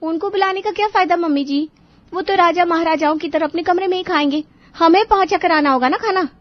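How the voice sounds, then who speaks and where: A woman voicing an overworked, complaining young daughter-in-law in an animated story. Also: extremely high pitch, a little fast, no room sound, muffled recording, voice pushed up. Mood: plaintive. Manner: plaintive, respectful to her elder.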